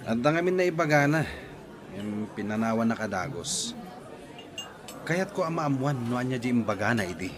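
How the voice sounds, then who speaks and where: A man's voice: mid-range voice, big pitch swings, normal speed, pauses often, a crowd in the background, close to an everyday voice. A man acting an earnest husband and devoted father in an Ilocano audio drama. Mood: insistent. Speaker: actor in a drama.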